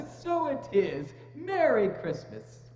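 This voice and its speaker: A man's voice: very high voice, wildly swooping pitch, takes it slowly, darkish tone, full character voice. A man playing a hearty, jovial young apprentice at a Christmas party, in a stage reading in a church hall. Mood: merry. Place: church hall, dramatic reading before microphones. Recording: noisy, echoing church hall.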